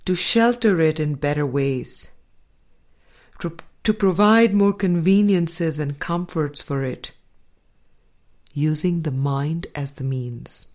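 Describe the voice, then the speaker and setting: Slow, low-pitched woman reading a spiritual audiobook. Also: a little mellow. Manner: measured, deliberate phrasing with frequent pauses. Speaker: audiobook reader. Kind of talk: scripted spiritual audiobook narration.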